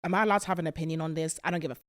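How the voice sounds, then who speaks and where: A woman's voice: deep voice, steady tone, racing. A woman from the UK hosting a casual interview, candid and teasing. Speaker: podcast host.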